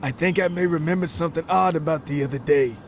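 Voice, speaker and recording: A man voicing a dim, distracted suburban husband who talks hesitantly and slowly catches on, in a comic audio story. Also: a little high, slight background noise, natural voice. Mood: vague, musing. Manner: hesitant, slow-witted, rambling.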